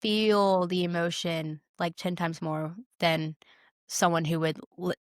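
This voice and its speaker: Young female co-host, effusive and emphatic, clean smooth tone, dry close sound, casual film chat. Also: lowish voice, steady tone, slow pace, no echo. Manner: effusive, emphatic, repeats words. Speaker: podcast co-host. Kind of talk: casual chat about films.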